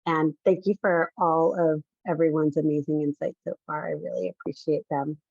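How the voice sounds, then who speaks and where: A woman's voice: sits low, steady tone, normal speed, gravelly, a little mellow, no room sound. A woman chatting enthusiastically on a video call. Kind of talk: casual remarks on a video call. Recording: tinny phone-like line, dry.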